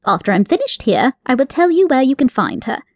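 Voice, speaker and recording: A woman voicing a prim, fussy male rabbit with a formal, haughty manner, pitched well above her own voice. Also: very animated, brisk, darkish tone, dry recording, clean studio sound, exaggerated voice.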